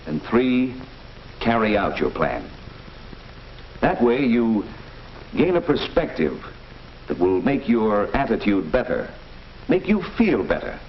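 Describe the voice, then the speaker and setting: Fatherly American doctor, deep, measured teaching tone, 1940s film acting, muffled hissy soundtrack. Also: huge pitch swings, heavily acted. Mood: encouraging, instructive. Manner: didactic, measured, deliberate. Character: kindly, fatherly family doctor explaining patiently.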